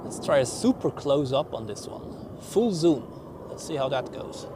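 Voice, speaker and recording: A man with a Swiss accent giving relaxed, chatty live commentary on arriving and departing planes as a plane-spotting host. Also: medium pitch, unhurried. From Switzerland. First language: Swiss German. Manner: chatty, relaxed, thinking aloud. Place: outdoors beside an airport runway. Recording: outdoor aircraft noise. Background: aircraft and vehicle noise.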